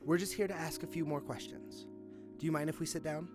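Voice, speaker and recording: A man's voice: a little high, fairly even intonation, fairly quick, music in the background, lightly acted. A man acting a confident, cynical police detective with a sardonic, matter-of-fact manner in an audio drama. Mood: businesslike.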